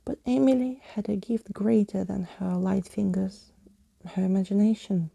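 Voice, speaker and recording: A woman narrating a story in ASMR style, soft and breathy, often slipping into a whisper, close to the microphone. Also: mid-range voice, no room sound.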